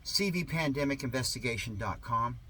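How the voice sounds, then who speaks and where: Theatrical, sarcastic man reading aloud, swooping pitch. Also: expressive, pushed and bright, a little hiss or hum. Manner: theatrical, mock-questioning, with drawn-out emphasis and muttered asides. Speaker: amateur reader of an article. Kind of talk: sarcastic dramatic read-aloud with asides.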